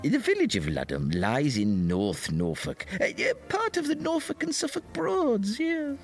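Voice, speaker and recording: A man's voice: high-pitched, wildly swooping pitch, measured pace, faint music behind, full character voice. A man playing a theatrical, doddery old storyteller of mock-gothic tall tales, hammy and dramatic. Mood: ominous, dramatic.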